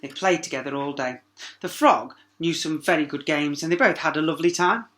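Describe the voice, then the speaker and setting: A man's voice: a little high, fairly quick, fairly bright tone. A man narrating a children's story expressively as a teacher, with small asides to young listeners.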